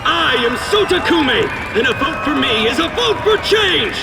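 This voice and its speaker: An actor playing a self-righteous, bombastic politician in a game dub, declaiming a pompous campaign speech. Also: male voice, very high voice, big pitch swings, normal speed, gravelly, heavily acted, rough recording. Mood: righteous fervour. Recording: loud outdoor crowd and street noise.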